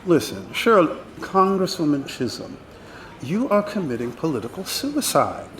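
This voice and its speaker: A man's voice: fairly high pitch, very animated, normal speed, a bit bright, slight background noise. An actor playing a condescending, exasperated male politician in an audio drama. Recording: faint background ambience.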